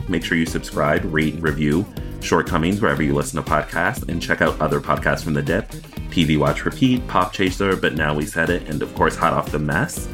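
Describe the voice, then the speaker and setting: Low voiced podcast host doing a playful tv recap, lots of opinions, just chatting. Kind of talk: casual TV recap chat.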